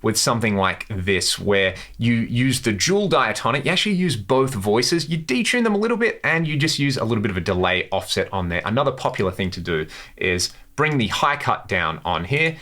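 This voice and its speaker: A man's voice: medium pitch, sing-song, fairly quick, pushed and bright. A man hosting a casual guitar gear tutorial, talking through settings with enthusiasm. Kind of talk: casual instructional demo to camera.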